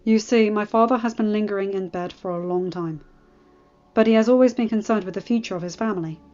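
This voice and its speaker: A woman's voice: medium pitch, a little fast, a little mellow, lightly acted. An earnest young nobleman choosing careful, formal words, voiced by a woman storyteller. The sound is slightly dull with faint music. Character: polite earnest young male lord.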